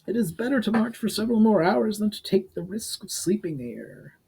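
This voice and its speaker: Aged fantasy archmage, played by a male reader: raised pitch, husky edge, anxious and insistent. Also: very animated, moderate pace, dark tone, heavily acted, rough recording. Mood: stubborn panic. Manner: insistent, note of panic. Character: stubborn, fearful aged archmage, pleading and weary. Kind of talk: dramatic fiction reading.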